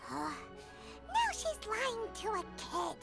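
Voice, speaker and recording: A woman voicing a tiny, cheeky, blunt companion creature in a video game, with a squeaky childlike voice. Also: huge pitch swings, takes it slowly, creaky, over loud music, heavily acted. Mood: snarky. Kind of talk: English video game voice acting.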